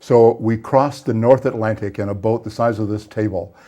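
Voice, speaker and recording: A Scottish-born man in his sixties, a guest author and songwriter telling wry, brisk anecdotes in a studio interview on a lapel mic. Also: lowish voice, fairly even intonation, mellow. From Scotland. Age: 60s. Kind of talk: casual interview storytelling. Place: small TV studio set.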